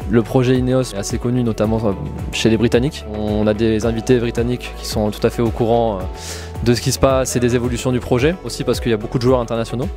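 A man's voice: medium pitch, level tone, fast talker, over music. A young man giving an interview into a handheld mic, hesitant and correcting himself. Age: young adult. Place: small indoor locker room. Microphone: handheld interview microphone.

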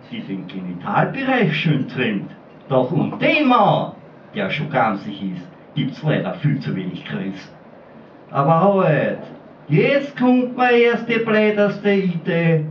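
A man performing a bawdy comic dialect poem at a pub slam, pausing with ums between bits. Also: medium pitch, slight background noise. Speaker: slam poet. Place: small pub stage. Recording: roomy distant lo-fi camcorder sound.

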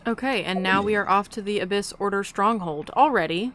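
A woman's voice: mid-range voice, a little fast. Chatty woman commentating over game music. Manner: chatty, informal, rambling. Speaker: gaming video host. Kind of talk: casual gameplay commentary.

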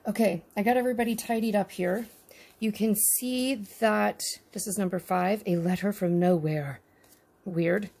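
A woman's voice: mid-range voice, normal speed, creaky, a little mellow, husky. A woman casually chatting to her viewers as she unboxes tiny miniatures at her table, talking to the items as she handles them. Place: indoors at a tabletop.

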